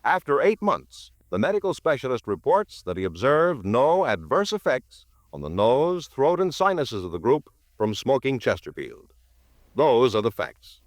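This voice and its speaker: A man's voice: medium pitch, very animated, normal speed, dry recording, clean studio sound. A man announcing in the style of a 1950s radio cigarette commercial, with an emphatic, polished sales delivery.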